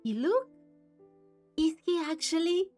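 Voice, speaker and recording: A woman's voice: very high voice, sing-song, unhurried, darkish tone. A woman giving casual let's-play commentary as she hosts a gaming video. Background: game music.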